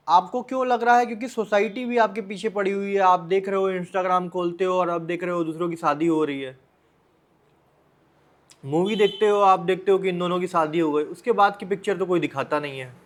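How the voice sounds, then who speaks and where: An Indian man with a notably high-pitched voice gives informal advice as a vlogger. He speaks bluntly and directly to the listener, often repeating phrases and posing rhetorical questions. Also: steady tone, normal speed. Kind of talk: informal motivational advice talk to the viewer.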